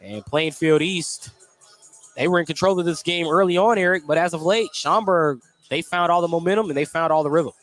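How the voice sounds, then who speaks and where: An American man doing live play-by-play basketball radio commentary, animated on big plays and conversational in between. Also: fairly high pitch, a little fast, faint music behind. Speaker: play-by-play sports announcer. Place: indoor basketball gym during a game. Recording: clearly noisy gym background.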